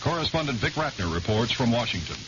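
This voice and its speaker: A man's voice: mid-range voice, sing-song, quick pace. An American man anchoring a scripted network radio newscast. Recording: muffled old radio, faint noise.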